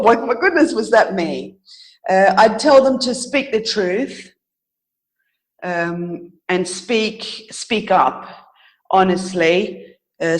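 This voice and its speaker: A woman answering interview questions in a casual, reflective way, earnest and halting, pausing to think and correcting herself. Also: medium pitch, low-quality recording. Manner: earnest, halting, thoughtful pauses with self-corrections. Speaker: interview guest, author.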